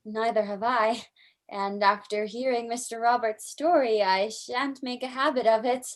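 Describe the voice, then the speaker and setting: High-voiced, spirited young fiancée played by a woman for an old-time radio play. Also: a little slow, natural voice. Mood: eager. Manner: eager, lively, teasing. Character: young bride-to-be daughter, curious, spirited.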